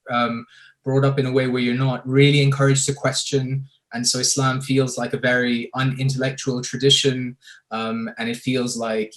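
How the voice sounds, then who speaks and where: Young man, from the Emirates, thoughtful, hesitant, many fillers, mid pitch, video call panel. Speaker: filmmaker panelist. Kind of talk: reflective panel discussion.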